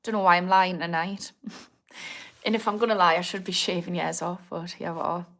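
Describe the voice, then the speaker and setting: A woman's voice: fairly low pitch, level tone, moderate pace, a bit bright. A woman in her thirties with a Geordie accent, chatting casually on a livestream, answering viewer comments with self-mocking humour and frequent laughter. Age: 30s. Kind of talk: casual live chat.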